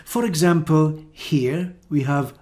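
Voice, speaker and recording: Slow, careful Scottish teacher. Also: male voice, a little high, warm tone. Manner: slow, careful, drawn-out model words. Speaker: English teacher. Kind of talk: pronunciation lesson.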